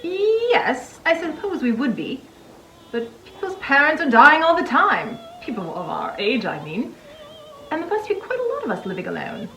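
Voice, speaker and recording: A woman's voice: high-pitched, big pitch swings, normal speed, fairly bright tone, soft background music, full character voice. A woman playing a reserved, proper single woman who is easily flustered, with a genteel, emphatic delivery in a drama reading. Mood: reflective, surprised. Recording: roomy echo.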